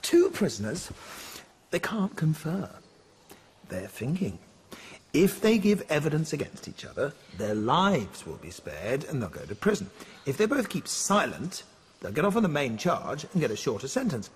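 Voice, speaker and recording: An actor playing a wry, eccentric philosophy teacher in a radio drama, with a theatrical, lecturing manner. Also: male voice, fairly high pitch, wildly swooping pitch, moderate pace, a little hiss or hum.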